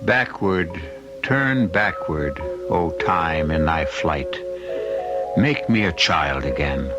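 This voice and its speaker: A man narrates a vintage radio mystery with a low, portentous voice. The recording is muffled, with loud music playing behind him. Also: expressive, slow pace. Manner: theatrical, portentous. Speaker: host and narrator. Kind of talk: scripted old-time radio mystery narration.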